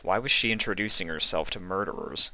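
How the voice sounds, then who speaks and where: A man's voice: low-pitched, fairly quick, sharp tone, dry recording. A man reading fan fiction aloud as dramatic scripted story narration.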